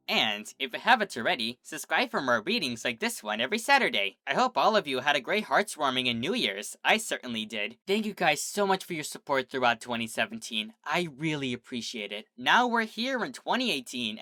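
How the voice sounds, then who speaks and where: Man narrating a storybook reading, lively pitch, bright voice, soft music under. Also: dry recording, studio quality. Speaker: fanfic reader and channel host.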